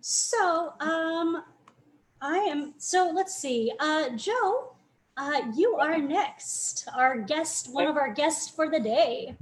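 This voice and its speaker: A woman hosts a live online chat among language teachers, sounding upbeat and keen as she welcomes everyone. Her voice sits high and she takes her time, speaking at a slow, unhurried pace. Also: slight background noise, low-quality recording. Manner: upbeat, enthusiastic. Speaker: host. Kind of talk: live video chat hosting.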